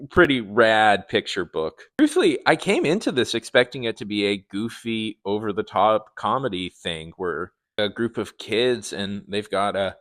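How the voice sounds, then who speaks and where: A man giving a conversational, opinionated review in a wry, animated manner. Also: mid-range voice. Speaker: video reviewer. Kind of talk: conversational review commentary to viewers.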